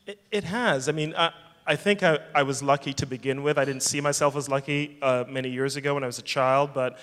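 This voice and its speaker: A man who directs a film festival, talking in a measured, thoughtful way at a fireside chat, often restarting his sentences. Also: medium pitch, fairly even intonation, fairly bright tone. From England. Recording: reverberant hall.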